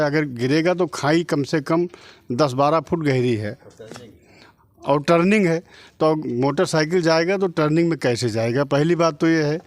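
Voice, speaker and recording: A man's voice: on the high side, no room sound. A middle-aged man from Uttar Pradesh giving testimony in an interview, insistently arguing point by point and repeating his claims. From Uttar Pradesh, India. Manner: insistent, argues point by point, repetitive. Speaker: relative of the victim being interviewed.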